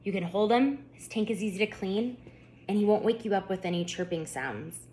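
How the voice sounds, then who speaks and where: A woman voicing a kind, encouraging mother in a children's storybook, with a gentle, reassuring manner. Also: medium pitch, normal speed, slight background noise, lightly acted. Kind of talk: children's storybook read-aloud.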